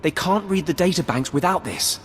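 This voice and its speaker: A man's voice: high-pitched, moderate pace, a little hiss or hum, lightly acted. A man playing a nervous, cowed Imperial computer technician in a video game, anxious, hesitant and pleading. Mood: nervous confession. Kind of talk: scripted video game acting. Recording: long reverb, game music behind.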